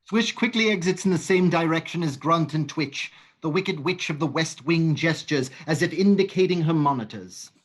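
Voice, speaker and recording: A man's voice: on the high side, moderate pace, few pauses. Man reading stage directions plainly.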